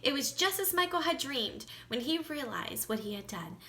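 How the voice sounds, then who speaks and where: A woman teacher reading a storybook aloud expressively, with deliberate emphasis and asides to children. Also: high voice, big pitch swings, sharp tone.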